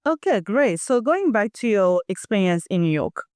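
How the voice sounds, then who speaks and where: A woman's voice: mid-range voice, sing-song, normal speed, darkish tone, no room sound, clean studio sound. A woman hosting a podcast interview, who asks long questions and switches between English and French. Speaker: podcast host.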